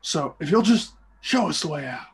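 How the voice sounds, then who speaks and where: A man's voice: fairly high pitch, big pitch swings, normal speed, creaky, breathy edge, heavily acted. A man playing Mario as a blunt, exasperated, money-minded plumber in an amateur script reading. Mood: exasperated, pleading.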